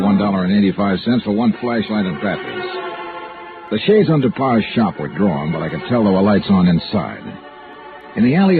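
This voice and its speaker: An old, thin-sounding radio recording with music cues carries a hard-boiled American investigator. He is wry and clipped, and his pitch moves freely as he tells and plays out the case. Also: narrow, tinny audio. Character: wry, tough male insurance investigator, private-eye type. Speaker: lead actor and first-person narrator. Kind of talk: old-time radio detective acting.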